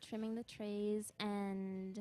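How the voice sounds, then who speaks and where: A woman's voice: mid-range voice, level tone, takes it slowly, dark tone. A young woman chatting casually with fellow student radio hosts in a college studio, laughing often. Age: young adult. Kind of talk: casual group chat.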